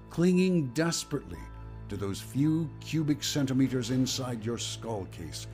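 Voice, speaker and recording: A man's voice: mid-range voice, darkish tone. A man playing an ominous, mocking narrator and inner voice in an audio drama, dramatic and portentous.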